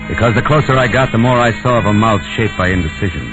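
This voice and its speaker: An American actor playing a cynical, world-weary private detective in a 1940s radio noir. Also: male voice, fairly low pitch, fast talker, lightly acted. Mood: wry, weary. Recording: dull old radio recording with music.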